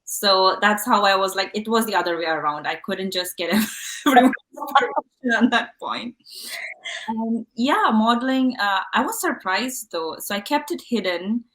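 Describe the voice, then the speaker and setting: Talk show guest: woman, Indian accent, Malayalam mother tongue, medium pitch, chatty and candid. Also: animated, moderate pace, a bit bright, poor audio. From Kerala, India. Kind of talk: casual interview, telling personal stories.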